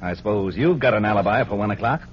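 An actor as a harried, low-voiced cop. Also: male voice, animated, fast talker, lightly acted. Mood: exasperated. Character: harried, gruff male police detective. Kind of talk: 1940s radio detective drama acting. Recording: muffled, noisy old radio recording.

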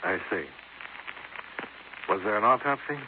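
An actor playing a cool, persistent insurance investigator who also narrates a 1950s radio drama, clipped, dry and matter-of-fact. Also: male voice, on the low side, lively intonation, unhurried. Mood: probing, dry. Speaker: lead and narrator. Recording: noisy, narrow 1950s radio broadcast.

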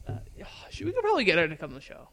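A young man chatting easily as a podcast co-host, quick to laugh and go along with the joke. His voice sits a little high. A noticeable hiss runs under the recording. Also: huge pitch swings. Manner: laughs often, easygoing, agreeable. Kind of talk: casual chat. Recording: noisy background hiss.

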